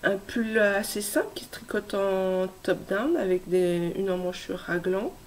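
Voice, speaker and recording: A woman in her thirties, hoarse from a cold, hosting a casual knitting vlog with many hesitations and soft asides. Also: mid-range voice, a little slow, a little hiss or hum. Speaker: knitting podcast host.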